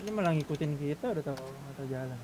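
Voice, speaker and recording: A man's voice: mid-range voice, expressive, rapid-fire, a little mellow, a little hiss or hum, low-quality recording. A man with an Indonesian accent chatting casually during a roleplay game. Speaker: gamer in a GTA roleplay server.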